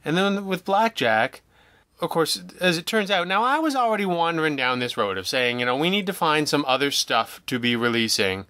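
A man talking casually as an interview guest, telling stories in an animated, jokey, self-deprecating way and acting out remarks he quotes. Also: fairly high pitch, normal speed, no echo. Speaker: guest, audio drama writer and producer.

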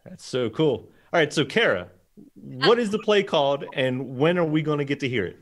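A man's voice: a little high, animated, normal speed. A man in his thirties hosting a casual, upbeat interview from a studio desk microphone. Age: 30s. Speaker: show host. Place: small wood-panelled studio, indoors.

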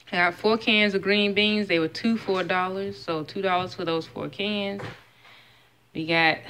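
A woman's voice: on the low side, a bit bright, a little hiss or hum. A woman in her thirties chatting casually at home as she shows a grocery haul item by item, recorded on a phone. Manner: chatty, listing prices item by item. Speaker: vlogger. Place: home kitchen, indoors. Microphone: phone camera held close.

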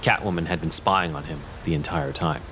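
A man's voice: rumbling low, steady tone, a little fast, background noise. A man narrating a scripted audio drama as storyteller. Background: music.

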